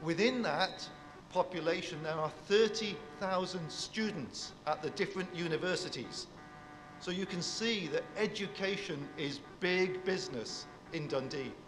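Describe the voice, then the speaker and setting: Man, university speaker, big voice, slightly high, long hall echo, music underneath. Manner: warm, measured address to an audience, big voice. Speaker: university professor giving a speech. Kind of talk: formal public speech.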